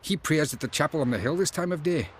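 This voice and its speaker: A man's voice: on the high side, lively intonation, quick pace, a little hiss or hum, close to an everyday voice. An actor playing a slaver's henchman, curt and guarded, in a fantasy video game. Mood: wary, curt. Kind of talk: video game dialogue acting.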